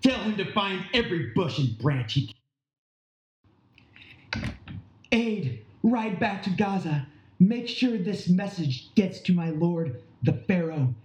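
A man's voice: high voice, lively intonation, slow pace, pauses often, muffled recording. A man playing an urgent ancient army commander in an amateur audio drama, declaiming battle orders. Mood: urgent, commanding. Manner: loud declamatory barking of orders. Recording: echoey room.

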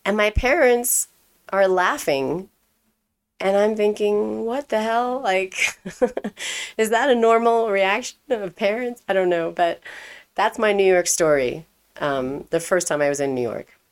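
A woman's voice: mid-range voice, a little slow, clean tone. A young adult woman from Europe, telling her life story in a chatty, casual way with frequent fillers.

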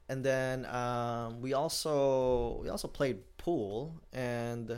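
A man's voice: medium pitch, extremely slow, clear, resonant tone. A young man co-hosting a casual podcast chat, telling stories and laughing often. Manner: laughs often, animated storytelling. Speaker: podcast co-host.

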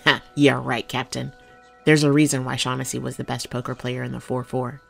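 A woman's voice: extremely low pitch, level tone, fairly quick, vocal fry, soft background music, exaggerated voice. An actress playing a loyal, candid policewoman who speaks deferentially but frankly to her captain in an audio drama. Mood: matter-of-fact, candid.

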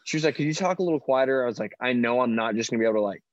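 A man co-hosting a podcast, telling casual stories in a loud, piercing voice with a joking, self-deprecating manner. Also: medium pitch, lively intonation, very fast, dry recording, muffled recording. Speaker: podcast co-host. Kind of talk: casual banter and storytelling. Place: online video call.

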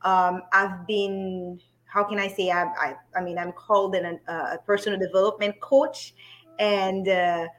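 A middle-aged woman from Haiti speaks as a podcast guest. She starts and restarts her sentences, fills the gaps with ums and laughs easily. She keeps the relaxed tone of a casual interview. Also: medium pitch, low-quality recording. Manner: hesitant, many ums, quick to laugh. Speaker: podcast guest, business coach.

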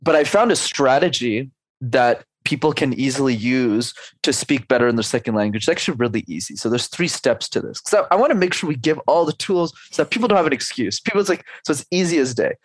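A man in his twenties or thirties coaches with energy, laying out his advice in clear numbered steps. His voice sits at a middle pitch, and the sound is dry and close, with no room echo. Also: expressive, quick pace. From Canada. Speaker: speech coach. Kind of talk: podcast interview conversation.

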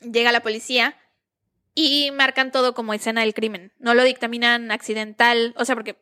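A woman's voice: on the high side, flat delivery, a little fast, pushed and bright. A young Mexican woman with a chatty, slangy way of talking, casually telling a true-crime story as a podcast co-host. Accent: Mexican Spanish. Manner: chatty, slangy, laughs between lines.

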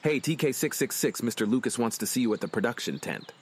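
A man's voice: mid-range voice, lively intonation, quick pace, husky. A man voicing a harried, indifferent film production assistant in an audio drama. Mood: brusque.

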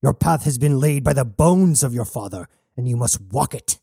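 A man's voice: mid-range voice, animated, normal speed, gravelly, mellow, breathy edge, no echo, clean studio sound. A man voicing an aging male mentor, firm, grave and insistent, in an audiobook. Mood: firm, urgent.

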